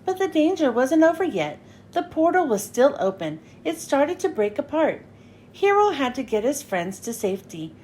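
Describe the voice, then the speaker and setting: A woman reading a children's story aloud in an expressive, dramatic storytelling style. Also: sits high, moderate pace, a little hiss or hum. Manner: expressive, dramatic with character lines. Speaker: children's book reader. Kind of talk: animated read-aloud storytelling for kids.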